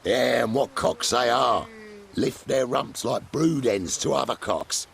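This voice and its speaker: An actor playing a pompous, swindling street showman with gravelly, theatrical patter in a fantasy game. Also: mid-range voice, a little slow, fairly bright tone. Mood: boastful. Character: swindling male street showman boasting of fake monsters. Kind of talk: scripted fantasy game acting.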